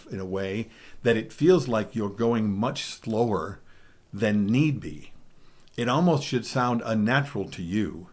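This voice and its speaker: A middle-aged man with a baritone voice giving a DJ training talk, emphatic, often checking in with "okay?". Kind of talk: spoken presentation.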